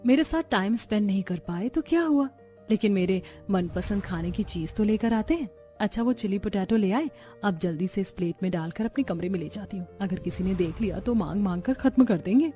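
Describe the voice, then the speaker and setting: A woman's voice: medium pitch, animated, fairly quick, warm tone, soft background music, close to an everyday voice. A woman playing a young, dramatic, exasperated new bride in a cartoon family story. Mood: scheming.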